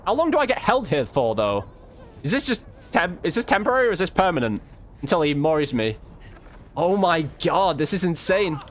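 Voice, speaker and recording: A man's voice: on the high side, sing-song, moderate pace. A man hosting a gaming video, giving chatty, informal commentary on his play and addressing his viewers directly. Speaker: gaming video host and player. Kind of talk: casual gameplay commentary.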